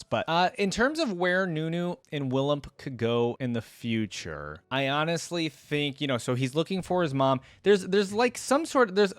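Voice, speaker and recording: A man's voice: mid-range voice, clear, resonant tone, no room sound. A man giving casual, off-the-cuff commentary as a reaction video host, thinking aloud as he goes.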